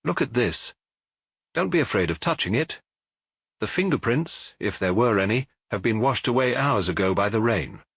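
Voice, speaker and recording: A man's voice: fairly low pitch, animated, normal speed, fairly bright tone, husky, dry recording, clean studio sound, exaggerated voice. Man voicing a pompous, eager police inspector. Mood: brisk, pleased. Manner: pompous, formal, explanatory. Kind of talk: audiobook character voice.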